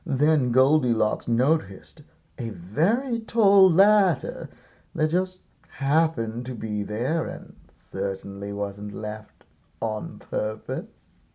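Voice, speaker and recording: Man, storybook read-aloud, very expressive pitch, slow pace, wry comic timing, dull lo-fi recording. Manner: deliberate, theatrical comic timing with wry pauses. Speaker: teacher reading aloud.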